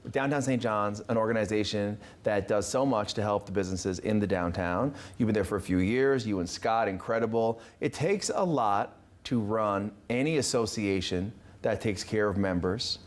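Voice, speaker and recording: A man's voice: lowish voice, moderate pace. A man hosting a TV interview in a studio, speaking informally with effusive, encouraging phrasing. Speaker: talk show host.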